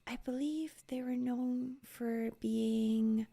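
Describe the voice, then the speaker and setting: A woman playing a curious, playful, scholarly young elf in an ASMR roleplay, with a soft breathy voice and a coaxing, teasing manner. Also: a little high, fairly even intonation, slow, full character voice. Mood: explaining.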